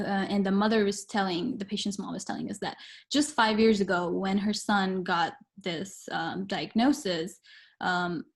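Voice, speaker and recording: A young woman from Pakistan, a guest on an interview show, telling her story in an earnest, reflective way with some hesitant fillers. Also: mid-range voice. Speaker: guest, medical student.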